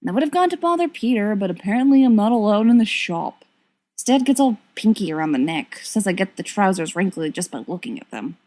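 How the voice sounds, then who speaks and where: Woman acting cheeky, melodramatic young man, animated. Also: medium pitch, a little fast. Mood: mock mournful. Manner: theatrical, mock-mournful, teasing. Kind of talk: storybook character reading.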